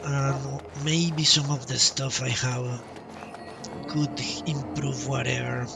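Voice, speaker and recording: Male game streamer, unhurried and halting, casual live commentary over prominent game music. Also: mid-range voice, steady tone, creaky, distant mic. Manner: halting, repeats himself. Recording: loud game music behind voice.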